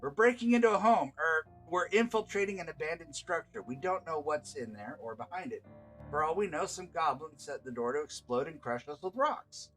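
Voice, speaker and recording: A man's voice: a little high, expressive, normal speed, a bit bright, soft background music, low-quality recording. A man voicing a cautious, bossy adventurer in a tabletop fantasy story, explaining things in an exasperated way.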